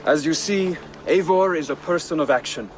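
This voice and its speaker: A man's voice: a little high, normal speed, lightly acted. An actor playing a practical, diplomatic Viking settlement man in a video game, polite and explanatory. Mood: welcoming, businesslike. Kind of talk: video game cutscene acting. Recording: noisy outdoor waterside ambience.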